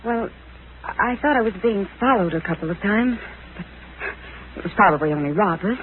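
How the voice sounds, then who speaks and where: A woman's voice: medium pitch, expressive, normal speed, phone-quality sound, natural voice. An actress playing an anxious, defensive woman in a 1940s radio drama, earnest on a scratchy old recording. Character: anxious, defensive woman who lost her money.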